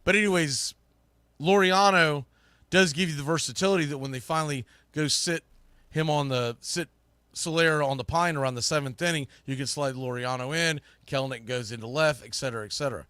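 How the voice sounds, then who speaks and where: A man with a fairly high, bright and punchy voice hosts a live sports show. He delivers opinionated commentary in an emphatic, colloquial style. The sound is close and very dry. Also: brisk, clear, resonant tone, dry recording. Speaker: sports podcast host and play-by-play broadcaster.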